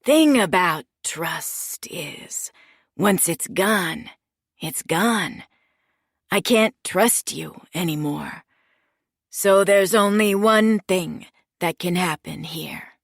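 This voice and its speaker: A woman doing a slow, cold crime boss. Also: creaky, no room sound, studio quality. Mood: cold whisper. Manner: slow, low and deliberate, sometimes whispered.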